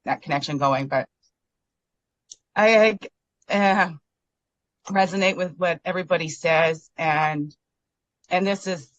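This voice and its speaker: A woman's voice: lowish voice, slow pace, long pauses, dry recording. Heartfelt woman on a dull, dry call. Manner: tearful, rambling, heartfelt. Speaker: guest member. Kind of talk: casual emotional sharing on a video call.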